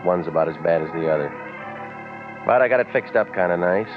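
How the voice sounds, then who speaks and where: A man acts out a cynical private eye in a vintage radio play. He speaks low, in dry, wisecracking, clipped phrases. The recording is dull, with music clearly behind it. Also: brisk, natural voice. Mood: deadpan, jaded. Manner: deadpan, terse, sardonic. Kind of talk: 1940s radio drama, first-person narration and dialogue.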